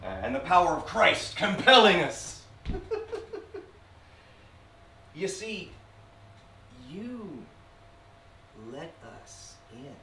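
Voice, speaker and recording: A man's voice: sits high, big pitch swings, bright, forceful tone, full character voice. A man playing a sly, taunting demon in a theatrical, sinister monologue spoken to the viewer. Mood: mocking, sly. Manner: slow deliberate delivery with sinister chuckles and sudden swells. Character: sly, taunting male demon possessing a human, theatrical vlogger. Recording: roomy, distant mic with faint noise.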